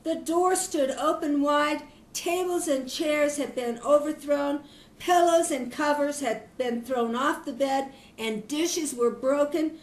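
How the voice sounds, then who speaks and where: An older woman, a grandmother, reading a children's story aloud in an expressive storytelling manner. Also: high-pitched, slow. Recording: echoey room.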